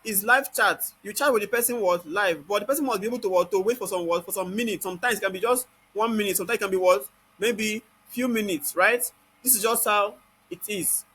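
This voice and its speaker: Nigerian guy doing a screen tutorial, high sharp voice, keeps asking questions then answering them. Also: a little hiss or hum. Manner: constantly asks rhetorical questions and answers them. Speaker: software tutorial instructor. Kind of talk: informal step-by-step screen tutorial.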